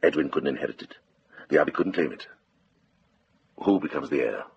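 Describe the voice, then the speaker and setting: A very deep, gravelly monk. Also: male voice, expressive, lo-fi sound, full character voice. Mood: probing. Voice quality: gravelly, husky. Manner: measured, probing, gentle. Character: observant, kindly older monk investigating a murder. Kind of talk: radio drama acting.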